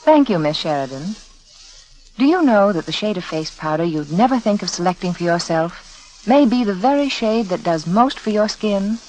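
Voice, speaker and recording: A woman's voice: medium pitch, lively intonation, normal speed, darkish tone, poor audio. A woman delivering a scripted 1940s radio beauty advertisement in a confiding, persuasive manner. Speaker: sponsor spokeswoman, beauty adviser. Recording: vintage 1940s radio broadcast recording.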